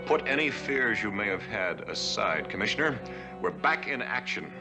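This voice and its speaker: An actor playing an earnest masked crime fighter in 1960s camp screen style. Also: male voice, on the low side, sing-song, moderate pace, loud music behind the voice. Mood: resolute. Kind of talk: 1960s camp television acting.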